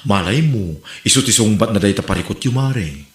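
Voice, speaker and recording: A man's voice: fairly low pitch, lively intonation, moderate pace, close to an everyday voice. A man with an Ilocano Filipino accent acting a cheeky, gossipy friend full of advice in an amateur comedy audio drama. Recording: roomy, echoing amateur podcast recording.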